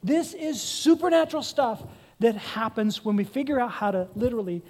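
A woman's voice: medium pitch, a little mellow. A woman pastor delivering an earnest sermon, putting questions to her congregation and pressing her points.

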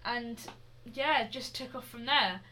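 A young woman with a bright, forceful voice pitched a little high chats casually. She giggles often and restarts her sentences as she co-hosts. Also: takes it slowly, slight background noise, low-quality recording. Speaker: podcast co-host. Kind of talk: casual chat.

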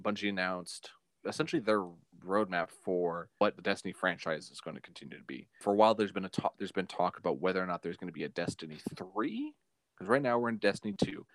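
Low-voiced man chatting, dry close sound. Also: dry recording. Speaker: podcast co-host. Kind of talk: casual chat.

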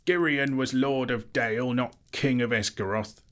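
A man voicing a wary, pompous old town master who speaks loud and clear in his own defence. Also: mid-range voice, normal speed, voice pushed up, natural voice. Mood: haughty.

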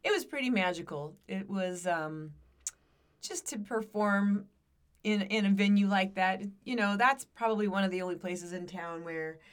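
This is a middle-aged American woman in casual podcast conversation. Her voice is rough and scratchy, as if worn from singing. She feels her way through her thoughts in a hesitant, reflective manner. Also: mid-range voice, moderate pace. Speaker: podcast co-host and amateur singer.

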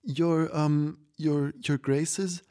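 Man playing a young witch girl, raised pitch, polite, hesitant, earnest, storybook. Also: steady tone, drawn-out words, dark tone. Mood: nervous, pleading. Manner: hesitant, pleading, courteous.

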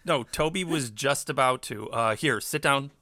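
A man's voice: medium pitch, moderate pace, bright, forceful tone, dry recording, clean studio sound. A man hosting a comedic show, bantering casually and humoring his guest. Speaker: host.

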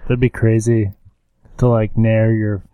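A man's voice: on the low side, little pitch movement, a little slow, clear, resonant tone, dry recording, narrow, tinny audio. A man co-hosting a podcast in casual chat, dropping dry, teasing one-liners. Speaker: podcast co-host, teacher.

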